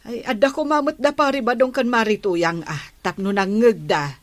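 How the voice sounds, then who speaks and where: A woman's voice: fairly high pitch, moderate pace, close to an everyday voice. A woman with an Ilocano accent acting an approving, inquisitive village woman in radio drama dialogue. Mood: earnest.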